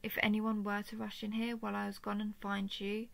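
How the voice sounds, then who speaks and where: Woman acting as a royal lady dragon in an audio roleplay, creaky soft voice, playful n protective, kinda formal wording. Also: medium pitch, completely flat delivery, a little fast. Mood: earnest, cautioning. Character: royal female dragon, empath, teasing and tenderly protective. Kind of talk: intimate scripted audio roleplay addressed to listener.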